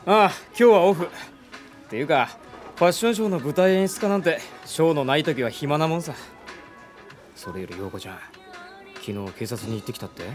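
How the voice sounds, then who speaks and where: A man's voice: on the high side, animated, brisk, faint music behind, natural voice. A man playing a casual, evasive young stage director with an informal manner in a Japanese radio drama.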